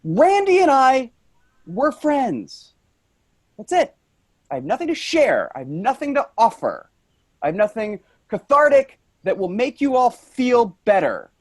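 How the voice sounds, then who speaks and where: A man's voice: very high-pitched, huge pitch swings, unhurried, full character voice. A man playing an angry, grieving office worker in a staged play reading, blunt and sarcastic. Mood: defensive, exasperated.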